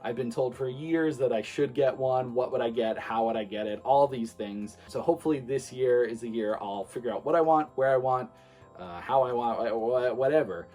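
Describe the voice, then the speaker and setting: A man in his late twenties, a streamer, talking casually to his viewers in a relaxed, rambling way with many fillers. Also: medium pitch, moderate pace, faint music behind. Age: 20s. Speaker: streamer and vlogger. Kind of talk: casual talk to camera.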